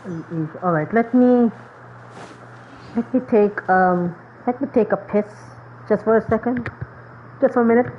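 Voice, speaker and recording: A woman's voice: a little high, a little slow, long pauses, phone-quality sound, slight background noise. A woman streaming a game with casual, informal commentary, rambling and reacting as she plays.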